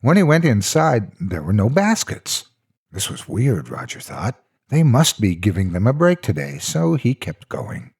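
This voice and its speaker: A man's voice: fairly low pitch, wildly swooping pitch, a little slow, a little mellow, breathy edge. A man narrating a scripted story in a theatrical, mock-serious storytelling style. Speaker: narrator of horror stories.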